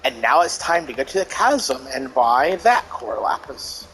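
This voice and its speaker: A man's voice: mid-range voice, big pitch swings, a little slow, a bit bright, faint music behind, poor audio. A man giving informal, sweary commentary as he hosts a let's play. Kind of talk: casual gaming commentary.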